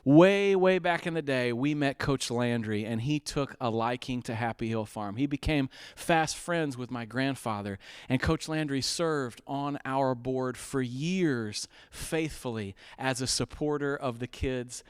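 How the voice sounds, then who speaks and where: A man with a smooth, resonant voice of middling pitch, hosting a charity telethon. He pleads earnestly and brightly for donations, often restarting his sentences and filling pauses with uhs. The sound is close and dry. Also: no room sound. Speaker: fundraiser host. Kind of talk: telethon appeal.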